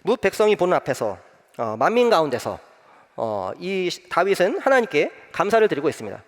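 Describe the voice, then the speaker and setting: A young man preaching a sermon as pastor, telling a personal story with many pauses and filler sounds. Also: sits high, sing-song, long reverb tail. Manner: gentle, frequent "eo" fillers, warm storytelling.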